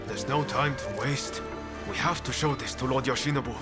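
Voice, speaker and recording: A man's voice: mid-range voice, normal speed, natural voice. An actor playing an earnest, impassioned Japanese man with bold ideas in a video game cutscene. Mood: upbeat, confident. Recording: loud music behind.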